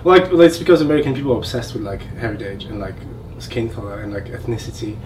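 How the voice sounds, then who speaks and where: A man's voice: medium pitch, animated, fast talker, a little mellow. A young man with a French accent hosting a podcast, chatting loosely and giggly, with "like" in nearly every phrase. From France. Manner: loose, giggly, heavy use of "like". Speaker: podcast host. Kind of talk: casual chat. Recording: distant mic with faint ambient noise.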